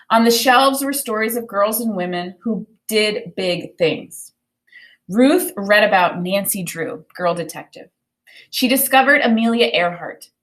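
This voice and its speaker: A woman teacher reading a children's book aloud, with expressive, deliberate phrasing and explanatory asides. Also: medium pitch, poor audio. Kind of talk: read-aloud of a children's book with think-aloud commentary.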